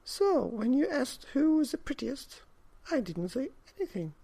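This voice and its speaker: A small, timid kitten from a storybook, played by a man, talks in a high voice. It is meek and modest, with a lilting rise and fall. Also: big pitch swings, measured pace, vocal fry, mellow, slightly rough, full character voice.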